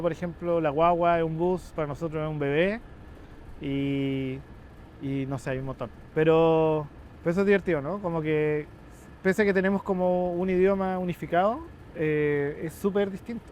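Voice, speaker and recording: A man from southern Chile with a Chilean accent, talking in a relaxed, chatty way as an interview guest outdoors. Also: a little high, normal speed, muffled recording, slight background noise. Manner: relaxed, chatty, restarts often, laughs easily. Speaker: interview guest, journalist and photographer. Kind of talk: casual conversation. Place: rooftop patio in Brooklyn, outdoors.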